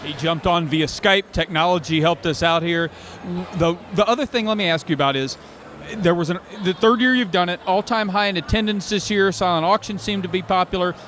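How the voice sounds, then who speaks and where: A man's voice: high-pitched, brisk, a bit bright, slight background noise. A man hosting an on-location interview, introducing his guest, summing up and asking questions in a conversational way. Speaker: interviewer, host.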